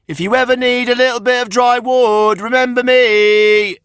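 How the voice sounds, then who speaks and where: A man's voice: very high voice, fairly even intonation, normal speed, a bit bright, smooth, no echo, studio quality, voice pushed up. A man voicing a naive, cheeky young wooden puppet boy in a children's storybook, chirpy and carefree. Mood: carefree, taunting.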